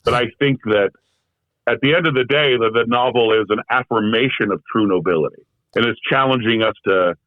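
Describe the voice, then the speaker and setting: A man's voice: mid-range voice, brisk, no room sound. A man being interviewed as a guest, talking in a thoughtful, rambling way with lots of fillers and restarts, heard over a remote call. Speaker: guest, translator. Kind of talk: casual conversational interview. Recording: tinny phone-like remote call audio.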